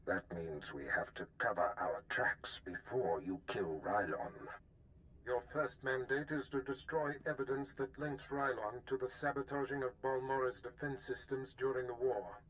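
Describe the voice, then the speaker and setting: An actor playing a commanding, scheming dark lord in a video game, measured and conspiratorial. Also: male voice, mid-range voice, slight background noise, lightly acted, poor audio. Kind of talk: cinematic video game voice acting.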